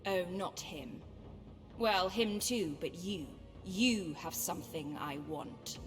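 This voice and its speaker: A menacing sci-fi game villainess, cool and sure of herself. She taunts slowly and deliberately, her voice echoing through a large hall with music behind. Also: lowish voice. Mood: taunting. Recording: long hall reverb under clear music.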